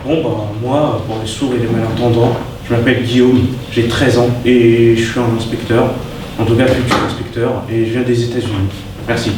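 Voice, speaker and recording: A man's voice: medium pitch, little pitch movement, moderate pace, natural voice. A man acting a haughty, germ-fearing boy who dreams of being an inspector, in a lofty, disdainful stage manner. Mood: plain. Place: theatre stage, from the audience. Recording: echoey hall from far off, noisy.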